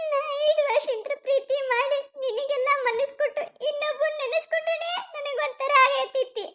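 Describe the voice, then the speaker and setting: A woman's voice: extremely high pitch, fairly bright tone. A woman with a North Karnataka Kannada accent acting as a distressed young college girl pleading with her boyfriend. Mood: resolute. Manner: pleading, breaks into teary laughter. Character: young woman college student, anguished, torn over marriage. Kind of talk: amateur skit acting.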